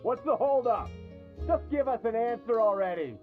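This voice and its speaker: A pushy hired henchman, barks impatiently in a gruff manner. The sound is a little dull, with music clearly playing underneath the video game dialogue. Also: very high-pitched, lively intonation, moderate pace. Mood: impatient, demanding.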